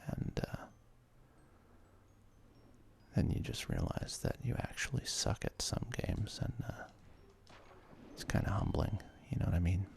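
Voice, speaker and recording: Whispering man, creaky and low. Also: a little mellow. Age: 30s. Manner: hesitant, self-deprecating, unhurried rambling. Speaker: ASMR let's play host. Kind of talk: whispered ASMR gaming commentary. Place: apartment.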